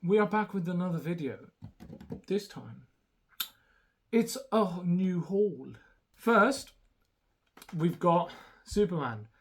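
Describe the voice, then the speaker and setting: A man's voice: high voice, animated, slow pace, pauses often. A young man whose first language is Romanian, talking casually and hesitantly through a haul with many ums and restarts. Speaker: YouTuber showing a haul.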